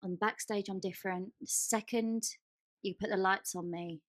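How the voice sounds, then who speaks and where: A woman's voice: mid-range voice, steady tone, moderate pace, dry recording. Informal woman podcaster, close dry sound. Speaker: podcast co-host. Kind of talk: casual podcast chat.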